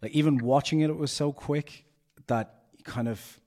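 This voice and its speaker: A man's voice: fairly high pitch, moderate pace, darkish tone. A man from Ireland, hosting a podcast in chatty, upbeat, rambling conversation. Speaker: podcast host. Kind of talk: casual interview.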